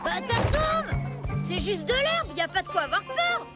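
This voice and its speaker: A good-natured cartoon child with a very high voice. The manner is inquisitive and friendly, like a young adventurer's. Loud music plays behind. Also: female voice, very animated, normal speed. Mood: curious. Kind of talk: French animated series dub acting.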